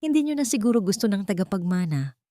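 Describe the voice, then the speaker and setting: A woman's voice: mid-range voice, sing-song, fast talker, mellow, no room sound, clean studio sound, natural voice. A woman voicing a manipulative young villainess in a story, sugary and reproachful. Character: sweet-talking, manipulative pregnant young villainess. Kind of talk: storybook drama.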